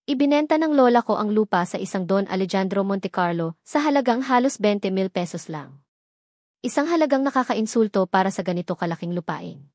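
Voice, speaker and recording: A woman's voice: mid-range voice, lively intonation, brisk, a little mellow, dry recording, studio quality. A woman reading a dramatic first-person story as a scripted narration.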